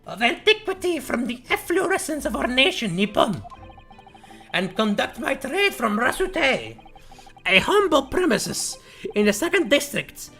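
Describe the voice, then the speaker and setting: Woman doing a pompous old antique dealer guy from a game, florid, pitch swooping all over. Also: big pitch swings, fairly bright tone, faint music behind, exaggerated voice. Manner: pompous, ornate, drawn-out phrasing.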